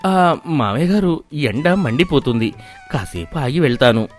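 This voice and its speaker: A man voicing a gentle, selfless village son-in-law in a moral story, speaking softly and respectfully. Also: on the high side, expressive, measured pace, soft background music. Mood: pleading. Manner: soft, respectful, patient. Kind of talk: animated moral-story voice acting.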